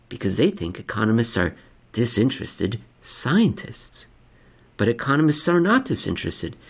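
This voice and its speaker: A man narrating a scripted article as a podcast host, with theatrical, emphatic delivery and deliberate phrasing. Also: medium pitch, vocal fry.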